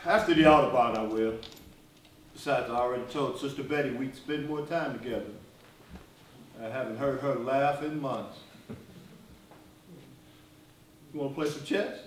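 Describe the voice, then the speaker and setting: A man acting in a stage play as an embattled activist leader, earnest, wry and weary, with a deliberate theatrical delivery. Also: mid-range voice, natural voice. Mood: gentle, weary. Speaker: stage actor. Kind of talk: live one-act stage play acting. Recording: very echoey stage, distant mic, faint noise.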